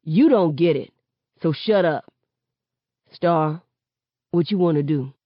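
A woman's voice: low voice, slow, no room sound, dull audio. A woman voicing a hot-tempered, protective young man in an audiobook, blunt and slangy. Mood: angry, resolved. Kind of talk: audiobook character acting.

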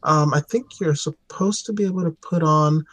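Husky man chatting casually. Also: fairly high pitch, moderate pace, fairly bright tone. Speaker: podcast panelist. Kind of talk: casual gaming roundtable chat.

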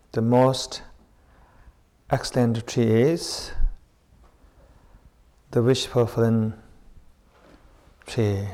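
A man leading a guided meditation as a teacher, speaking in short, unhurried phrases with long pauses between them. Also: mid-range voice, a little mellow, clean tone. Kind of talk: slow guided meditation with spoken reflections.

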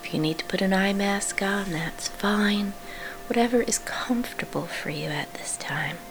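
A woman with a breathy, often whispery voice gently guides a sleep meditation, hushed and unhurried, with reassuring instructions. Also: medium pitch. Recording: clearly audible background hum.

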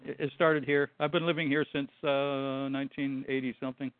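A man in his sixties from Buffalo, New York, a retired Army translator, giving short, halting answers as a guest on a call-in show. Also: medium pitch, flat delivery, dry recording. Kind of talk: call-in interview. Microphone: phone. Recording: tinny phone line.

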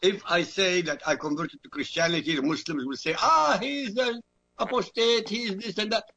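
Iraqi-born guy, arabic first language, raspy gravelly voice, kinda bright edge, hammers his point home. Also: high-pitched, moderate pace. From Iraq. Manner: forceful, repeats phrases for emphasis. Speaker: guest commentator. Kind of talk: emphatic talk-radio argument.

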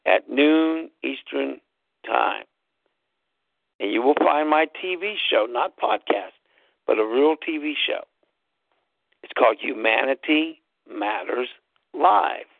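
A man's voice: medium pitch, gravelly, dry recording. A man who hosts a talk show, telling a story in an emphatic way, spelling words out and repeating himself for stress. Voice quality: loud voice. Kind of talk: casual storytelling monologue. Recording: tinny phone-like line, dry.

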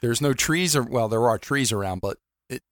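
A man's voice: mid-range voice, expressive, moderate pace, no echo, studio quality. A man co-hosting a podcast, chatting casually in a laid-back way, laughing often and swearing now and then. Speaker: podcast co-host. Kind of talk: casual chat.

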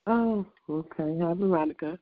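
A hoarse, congested speaker leading a morning prayer call with a measured, repeated litany and some fillers. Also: medium pitch, dry recording. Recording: tinny phone line, very gravelly.

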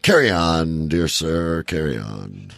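Gravelly man with very animated delivery. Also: rumbling low, slow, dry recording, clean studio sound. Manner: sweary, animated, sarcastic. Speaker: comedy podcast co-host. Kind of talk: irreverent casual banter about odd news.

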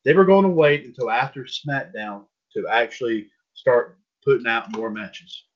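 A man hosts a casual wrestling talk show in a loose, rambling way, circling back over his own words and padding his lines with filler. His voice sits at an ordinary pitch for a man. The sound is dull and lo-fi. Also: normal speed. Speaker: podcast host.

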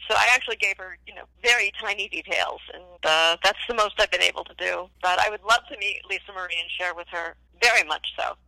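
A woman's voice: mid-range voice, quick pace, vocal fry, few pauses, pushed and bright, dry recording. An older woman chatting as an interview guest over a phone-like line, effusive and repeating phrases for emphasis. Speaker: interview guest, former child actress. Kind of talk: casual interview chat. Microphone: remote call.

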